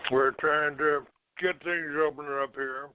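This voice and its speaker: A man hosting a call-in show, ranting off the cuff in a halting, accusatory and combative manner. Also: fairly high pitch, fairly even intonation, slight background noise. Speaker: internet call-in show host. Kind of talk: unscripted talk show rant. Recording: narrow, tinny phone-like line.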